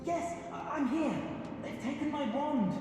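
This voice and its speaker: An actor playing an anxious, earnest older wizard, a metal trader in a video game. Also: male voice, very high voice, unhurried. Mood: desperate, urgent. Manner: earnest, expressive, rueful. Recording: game music behind the voice.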